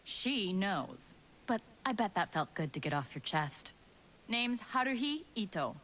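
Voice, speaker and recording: An actress playing a tough, sardonic rebel leader in a video game, wry and resolute. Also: female voice, medium pitch, sing-song, a little slow, fairly bright tone, a little hiss or hum. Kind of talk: video game cutscene acting.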